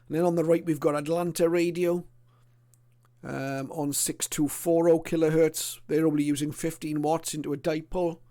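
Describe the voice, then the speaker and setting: A middle-aged or older man presenting a casual illustrated hobby talk in a hesitant, unscripted way with frequent pauses. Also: on the high side, little pitch movement, mellow.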